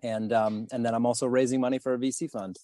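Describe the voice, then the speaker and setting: A man speaking as a podcast guest about his career and fundraising, pausing often to restart his sentences. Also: mid-range voice, little pitch movement, warm tone, dry recording, clean studio sound. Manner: halting, many fillers and restarts. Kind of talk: podcast interview.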